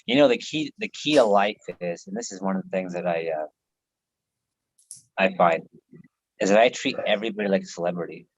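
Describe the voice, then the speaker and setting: A man's voice: low voice, sing-song, fairly quick, creaky, long pauses, dull audio. An American man chatting casually on a live video call, laid-back, laughing often and swearing freely. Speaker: host.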